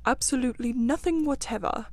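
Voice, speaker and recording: A woman's voice: a little high, lively intonation, moderate pace, no echo. A woman voicing a grumpy, literal-minded male mole in a storybook, with a plaintive, pettish, stubbornly matter-of-fact manner.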